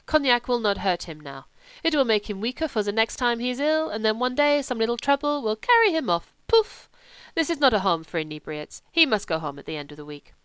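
Woman as exasperated male doctor, stern, brisk, audiobook character, crisp voice, dry recording. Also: on the high side, expressive, fairly bright tone, no room sound.

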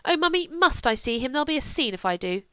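A woman's voice: high-pitched, big pitch swings, fast, pushed and bright, no echo, studio quality, pitched above the performer's own voice, exaggerated voice. A woman doing a headstrong young society lady. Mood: petulant. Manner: impetuous, petulant. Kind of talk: audiobook character voice.

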